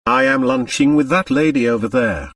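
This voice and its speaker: A man's voice: mid-range voice, wildly swooping pitch, moderate pace, vocal fry, fairly bright tone, no echo, recorded from a distance, full character voice. A man playing a bitter, reckless man in an audiobook, with a brusque, mocking manner. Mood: curt, strained. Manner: sardonic, brusque. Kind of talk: audiobook character acting.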